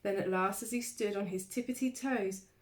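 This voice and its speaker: A woman reading a children's storybook aloud like a primary school teacher, expressively, with dramatic pauses and emphasis. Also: mid-range voice.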